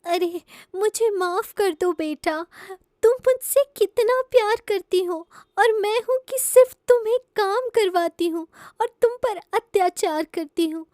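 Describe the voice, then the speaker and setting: Woman voicing a very high, imploring mother bird. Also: unhurried, few pauses, darkish tone, no echo, studio quality. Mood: remorseful. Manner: emotional, imploring. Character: stepmother bird, formerly cruel, emotional.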